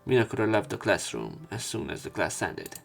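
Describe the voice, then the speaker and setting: A man with a fairly low voice reads a visual novel story aloud in let's play style. His reading is a little halting, with small stumbles and repeated words. Faint music plays behind him. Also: big pitch swings, gravelly. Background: game music.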